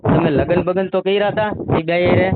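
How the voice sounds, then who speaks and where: A man's voice: high-pitched, steady tone, normal speed. A man with a Kathiawadi Gujarati accent giving advice on a phone call, explaining things and often checking that he is understood. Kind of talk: advisory phone conversation. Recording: noisy phone line with clicks.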